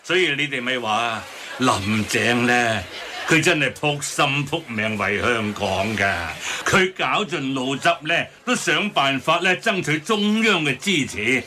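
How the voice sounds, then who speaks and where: A man's voice: medium pitch, expressive, a little slow, background noise, close to an everyday voice. An actor playing an earnest pro-establishment businessman in a satirical radio drama, speaking Hong Kong Cantonese. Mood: earnest, insistent.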